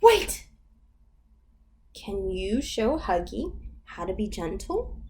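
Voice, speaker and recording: Slow, sing-song woman reading to babies. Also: medium pitch, big pitch swings, a little mellow, slight background noise. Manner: slow, sing-song and exaggerated, with gasps and whispers. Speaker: children's librarian. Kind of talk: baby storytime reading.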